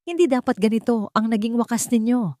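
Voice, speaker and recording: A woman's voice: fairly high pitch, lively intonation, a little fast, mellow, no echo, clean studio sound, pitched above the performer's own voice, close to an everyday voice. A woman voicing a grieving but resolute young woman, solemn and emotional, in an audio story. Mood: tender resolve.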